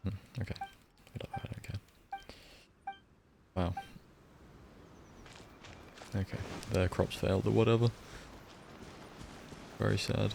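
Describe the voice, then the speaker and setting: A man's voice: on the low side, little pitch movement, slow pace, vocal fry, long pauses, noisy background. A man giving casual live game commentary as a streamer, offhand and sardonic, swearing now and then.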